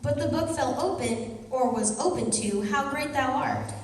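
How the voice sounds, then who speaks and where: A woman's voice: high voice, moderate pace, close to an everyday voice. A woman acting an inquisitive, forthright choir lady with a wry manner in an amateur radio theatre comedy. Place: college radio theatre performance in a hall. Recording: echoey hall, distant, background noise.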